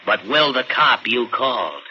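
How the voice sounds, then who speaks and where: A man's voice: medium pitch, big pitch swings, measured pace, sharp tone, exaggerated voice. An actor playing a menacing, slangy working-class cab driver in an old radio thriller, insinuating and threatening as he talks. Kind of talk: 1940s radio thriller acting. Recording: muffled, noisy old radio recording.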